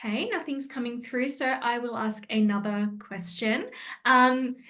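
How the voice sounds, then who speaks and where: A woman moderating an online session in an informal way, inviting and asking questions with hesitant pauses between phrases. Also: fairly high pitch, bright, forceful tone. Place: online video call.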